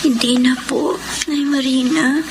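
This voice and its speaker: An actress playing a frightened, traumatized younger sister, meek and plaintive, in a radio melodrama. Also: female voice, high voice, fairly even intonation, measured pace. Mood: subdued. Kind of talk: Filipino radio melodrama acting. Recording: noisy radio drama track.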